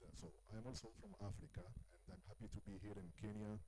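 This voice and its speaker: Hesitant low-voiced man from Tunisia. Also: fairly even intonation, fast, crowd noise, poor audio. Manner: hesitant, many fillers. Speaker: conference speaker. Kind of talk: halting presentation. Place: large exhibition hall. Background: clear background noise.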